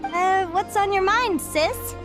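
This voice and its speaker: A woman voicing a cheerful, brave young adventurer girl with an eager researcher's streak in a video game, expressive and earnest. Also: very high-pitched, slow, over music. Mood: concerned. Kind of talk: animated video game voice acting.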